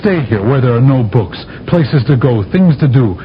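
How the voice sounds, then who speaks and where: A bold, persuasive space envoy played by a man with big swings of pitch and an emphatic, stagey push. It sounds like old radio drama, slightly muffled, with a layer of noise behind it. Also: lively intonation, normal speed, close to an everyday voice. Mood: scornful. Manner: insistent, theatrical, emphatic. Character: bold, persuasive male astronaut envoy from Earth. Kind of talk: 1970s radio drama acting. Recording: dull, noisy old radio broadcast recording.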